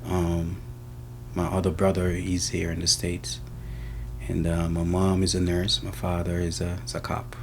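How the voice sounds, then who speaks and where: A man's voice: deep voice, completely flat delivery, measured pace, vocal fry, slight background noise. A man with a Jamaican accent giving casual answers in an interview. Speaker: interviewee, senior car technician.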